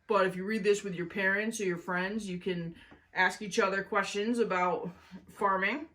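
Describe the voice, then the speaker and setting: Female children's show host, mid-pitched, enthusiastic and playful, speaking directly to young viewers. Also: fairly even intonation, moderate pace, pushed and bright. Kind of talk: children's book reading and review.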